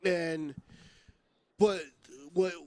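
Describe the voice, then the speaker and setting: Male podcast panelist, fairly high-pitched, informal and opinionated in casual debate. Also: steady tone, extremely slow, darkish tone, clean tone. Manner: informal, repeats words, swears.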